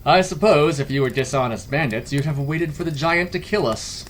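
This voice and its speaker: A man's voice: fairly high pitch, moderate pace, a little hiss or hum, pitched above the performer's own voice, close to an everyday voice. A man voicing a friendly, polite middle-aged merchant who chats and explains in a fantasy story. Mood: confiding. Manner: chatty, courteous, explanatory.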